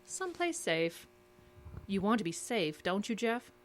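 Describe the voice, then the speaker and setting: An actress playing a controlled, secretive interrogator in an audio drama, measured and coolly insistent with clipped questions. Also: female voice, mid-range voice, sing-song, a little hiss or hum. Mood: cool, probing.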